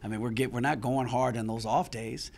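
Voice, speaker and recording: A man's voice: mid-range voice, steady tone, brisk, creaky, darkish tone. A middle-aged man, a basketball head coach, speaks frankly and with some frustration at a post-game press conference, restarting phrases as he thinks. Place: press room before a sponsor backdrop. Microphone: handheld mic on a stand close in front.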